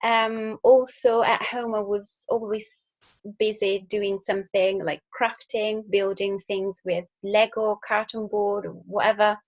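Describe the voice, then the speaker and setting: A woman's voice: mid-range voice, expressive, a little slow, highs cut off. A young woman from Italy with an Italian accent, talking casually to school pupils, with fillers and restarts. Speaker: engineer. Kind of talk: recorded talk to school pupils.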